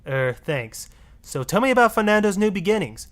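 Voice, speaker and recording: A man voicing a sarcastic, exasperated talk radio host with snarky, brisk patter in a satirical game radio style. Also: high voice, big pitch swings, fairly bright tone. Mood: wry, announcing.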